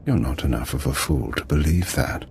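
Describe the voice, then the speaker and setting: An actor playing a bitter, grandiose revolutionary leader in a video game, speaking in deliberate, theatrical speeches. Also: male voice, very deep voice, darkish tone, a little hiss or hum. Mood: defiant.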